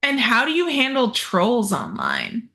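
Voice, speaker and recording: A woman's voice: fairly high pitch, huge pitch swings, measured pace, vocal fry, bright, forceful tone. A woman hosting a podcast, asking questions in a casual, blunt and profane way. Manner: blunt and profane, cuts in with agreement. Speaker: podcast host. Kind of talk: casual interview chat.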